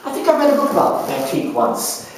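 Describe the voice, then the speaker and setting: A man performing a theatrical monologue as a flighty, easily bored young student, excitable and gushing as he hops between subjects. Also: high-pitched, brisk, noisy background. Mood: excitable, curious. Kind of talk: theatrical solo character monologue. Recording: very echoey room, distant mic.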